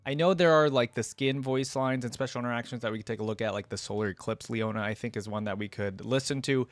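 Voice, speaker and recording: A man gives casual reaction commentary as a video host. His voice is slightly on the low side and recorded very dry. He muses conversationally and puts questions to his viewers. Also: fast talker, no echo.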